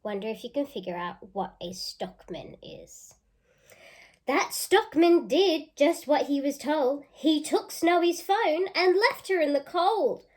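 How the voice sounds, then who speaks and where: A woman reads a storybook as a teacher would for young children. Her voice is fairly high and she takes her time, reading expressively and stopping to ask the listeners questions. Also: animated, takes it slowly, a little mellow. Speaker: teacher reading a picture book aloud. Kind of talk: children's read-aloud with questions.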